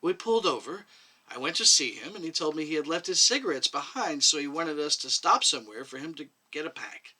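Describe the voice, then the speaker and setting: A man plays a meek woman medic under questioning in a story reading. The answers are quiet and hesitant, defensive yet plain-spoken. The tone is clear-edged and bright, with a lively rise and fall. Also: on the high side, fairly quick, exaggerated voice. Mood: resigned. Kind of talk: dramatic short story reading.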